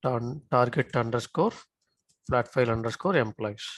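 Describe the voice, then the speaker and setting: A male software instructor talks through a live on-screen demonstration. His voice sits at a middle pitch in a dry recording with no room sound. He often stops to check that learners follow. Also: moderate pace, no echo. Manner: checks in often with short tag questions.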